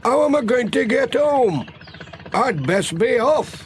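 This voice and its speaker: A man voicing a slow, plain-spoken male farmer in a children's audiobook. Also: extremely high pitch, expressive, slight background noise, voice pushed up, full character voice. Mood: dismayed. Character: slow, worried male farmer on his tractor. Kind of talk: children's audiobook character acting.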